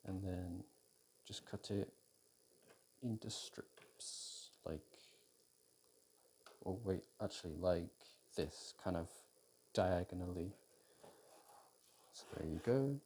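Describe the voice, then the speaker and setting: A British man in an intimate, casual ASMR roleplay as a boyfriend cooking, talking in an unhurried, hesitant way as if thinking aloud. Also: low-pitched, mellow, a little hiss or hum. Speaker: audio roleplay performer playing a boyfriend cooking. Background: kitchen food preparation sounds.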